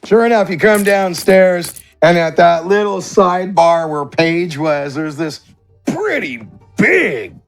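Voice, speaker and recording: Lively, high-voiced male game master. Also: slow, soft background music. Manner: conversational, descriptive. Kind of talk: improvised tabletop game narration.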